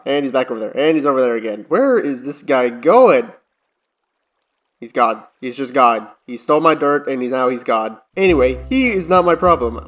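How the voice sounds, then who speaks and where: A man's voice: medium pitch, fairly bright tone. Chatty man narrating his gameplay. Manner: chatty, talks to himself while building. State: strained voice, recovering from voice loss. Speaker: Minecraft YouTuber. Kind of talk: casual gameplay commentary.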